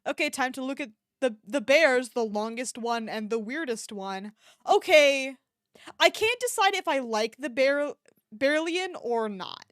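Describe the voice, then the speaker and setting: Woman streamer with very animated, high-pitched voice. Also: unhurried, dry recording, clean studio sound. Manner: exclamatory, playful mock-dramatic reactions. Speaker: livestreamer. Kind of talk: casual livestream chat, reacting to an online shop.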